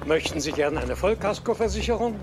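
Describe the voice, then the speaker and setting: Q, a high-voiced, fussy older man. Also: lively intonation, brisk, over music. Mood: officious. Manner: officious, dry. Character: fussy, exasperated older male gadget master. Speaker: gadget master. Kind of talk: dubbed film acting.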